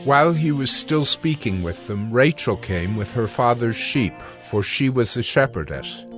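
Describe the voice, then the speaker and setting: A man narrating scripture from a script in a measured, formal way. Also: mid-range voice, animated, fairly bright tone, soft background music.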